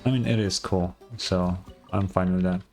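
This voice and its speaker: A man's voice: sits low, steady tone, moderate pace, darkish tone, soft background music. A man giving casual, informal gameplay commentary, occasionally swearing. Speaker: gaming streamer reading game dialogue aloud. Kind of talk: casual gameplay commentary with dialogue read aloud.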